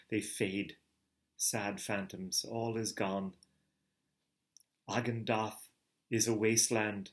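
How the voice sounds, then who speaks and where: A middle-aged man giving a theatrical reading of a novel, moving from hushed murmurs to booming calls. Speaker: reader of a novel. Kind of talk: dramatic literary reading.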